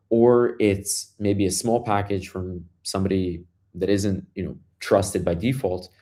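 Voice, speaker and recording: Low-voiced Brooklyn man telling a story. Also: dark tone. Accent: Brooklyn New York. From Brooklyn, New York, US. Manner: loose storytelling with many fillers and restarts. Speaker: guest, startup founder. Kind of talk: casual interview conversation.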